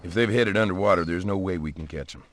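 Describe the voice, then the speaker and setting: A man's voice: low-pitched, fast talker, a little hiss or hum, close to an everyday voice. A man playing a plainspoken young country man with a Southern US accent in a video game cutscene. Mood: resigned.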